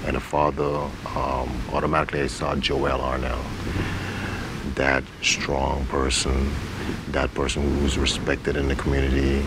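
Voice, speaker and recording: A man answering interview questions in a halting, thoughtful, conversational way, speaking as someone who directs and acts in stage plays. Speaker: stage play director and actor. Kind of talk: interview answers about a community stage play. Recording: noisy recording with rumbling ambience.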